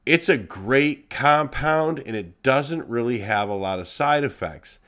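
A man's voice: mid-range voice, a little slow. Congested man with a nasal voice. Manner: blunt, profane, animated. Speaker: podcast host. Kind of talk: casual solo podcast monologue.

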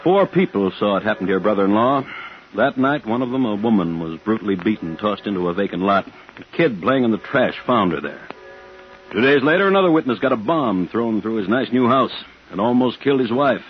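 Man acting weary, low-voiced police chief on old radio. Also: expressive, lightly acted. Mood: grim. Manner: tired, halting, guarded. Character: weary, evasive police chief of a frightened town. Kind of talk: 1950s radio thriller acting. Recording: dull, faintly noisy 1950s radio broadcast.

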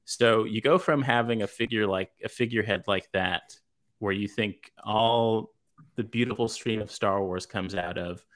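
Monotone man with a bright, crisp voice. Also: lowish voice, moderate pace. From Los Angeles, USA. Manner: monotone, hesitant with many fillers. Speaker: writer, podcast guest. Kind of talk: casual interview answers.

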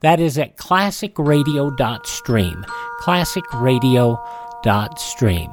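A man presenting a radio show conversationally, with genial, courteous, old-fashioned phrasing. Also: medium pitch.

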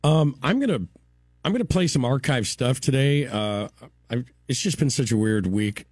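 Husky-voiced man reading radio news. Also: mid-range voice, expressive, a little mellow, no echo, clean studio sound. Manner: brisk news read with fillers in chat. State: voice recovering after illness. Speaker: radio morning show host. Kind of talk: reading news items and chatting to listeners.

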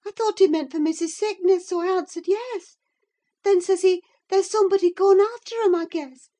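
A woman voicing a panicked, excitable young servant maid, breathless and gushing, in an audiobook. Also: very high voice, moderate pace, voice pushed up. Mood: breathless gossiping. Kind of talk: audiobook character acting.

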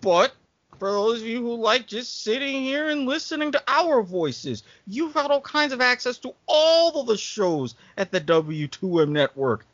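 A man's voice: very high voice, a bit bright, dry recording. A man chatting casually as a panelist on a podcast. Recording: dull, lo-fi close sound.

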